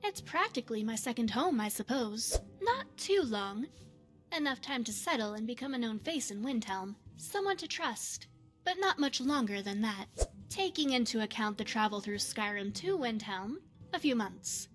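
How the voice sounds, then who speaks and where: A woman voicing a poised, secretive retired spy and mage in a fantasy game, speaking in a measured, formal, coy way. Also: a little high, big pitch swings, faint music behind. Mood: coy, matter-of-fact, then polite, conversational. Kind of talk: scripted fantasy game character dialogue.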